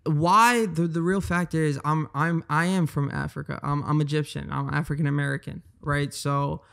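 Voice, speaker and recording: Young male guest, gravelly and a touch high, earnest and casual, handheld mic. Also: fairly even intonation, normal speed, fairly bright tone. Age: 20s. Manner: earnest, repeats words while thinking. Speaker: guest, trader. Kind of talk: casual podcast interview. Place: bright high-rise living room.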